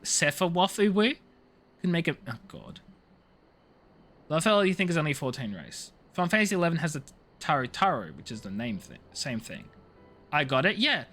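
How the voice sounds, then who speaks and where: Lively, bright-voiced man chatting over a game. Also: high voice. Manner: slangy, jokey, playful mock-outrage. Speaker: live streamer playing a video game. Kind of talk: casual chatty game commentary.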